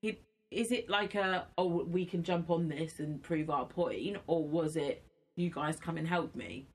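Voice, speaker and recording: A woman's voice: sits low, unhurried, darkish tone, breathy edge. A woman in casual podcast conversation, insistent when she makes her point. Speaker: podcast host. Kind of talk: casual chat and debate.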